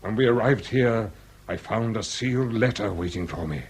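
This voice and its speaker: A grave, aristocratic lord in his sixties, speaks with a husky edge. His phrasing is formal, ornate and theatrical, in the manner of period radio drama. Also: on the low side, moderate pace. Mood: anguished. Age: 60s. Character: grave aristocratic lord past sixty, confessing a dark secret.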